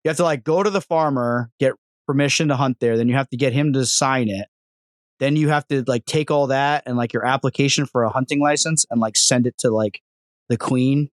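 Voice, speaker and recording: Guy cohosting a podcast, mid-pitched, jokey storyteller, dry close sound. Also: brisk, no echo. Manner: joking, animated storyteller. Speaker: podcast co-host. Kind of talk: casual podcast chat.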